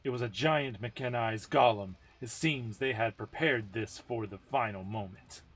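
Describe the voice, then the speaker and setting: A man with a medium-pitched voice reads game story text as narration. It is an amateur dramatic reading, informal and a little self-deprecating. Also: moderate pace, a bit bright, husky.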